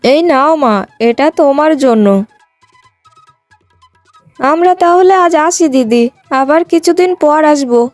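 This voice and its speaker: A choosy middle-aged cartoon matron, played by a woman, speaking forthrightly in a fairly high voice. The recording is dry, with faint music behind. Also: slow, long pauses, a little mellow, no echo. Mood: approving. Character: choosy middle-aged mother of a prospective groom.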